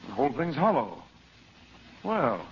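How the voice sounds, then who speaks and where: A man's voice: mid-range voice, wildly swooping pitch, unhurried. A man playing a resolute, authoritative uncle and adventurer hero in an old radio serial, earnest and theatrical. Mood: intrigued. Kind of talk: 1930s-40s radio adventure serial acting. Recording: muffled, very noisy old radio recording.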